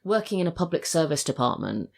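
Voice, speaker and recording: Low-pitched British woman chatting. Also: very animated, fast, creaky. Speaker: podcast guest, audio drama writer and voice actor. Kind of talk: casual chat, personal anecdotes.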